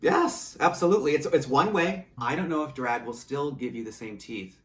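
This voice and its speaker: A man's voice: medium pitch, expressive, moderate pace, fairly bright tone. An American man from rural Michigan talking candidly and with opinions in a casual interview. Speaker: audio drama writer, producer and drag performer. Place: indoor home studio. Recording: roomy, distant mic, faint background noise.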